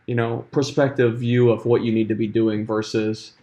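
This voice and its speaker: A man's voice: mid-range voice, little pitch movement, moderate pace, mellow. Guy who's a sports scientist, talks it through on a podcast, ums a lot. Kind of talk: casual chat.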